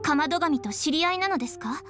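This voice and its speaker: A woman's voice: high-pitched, lively intonation, fast, over music. A woman voicing a proper, reserved but easily flustered young woman in an anime game. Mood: composed, inquiring. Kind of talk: Japanese anime game voice acting.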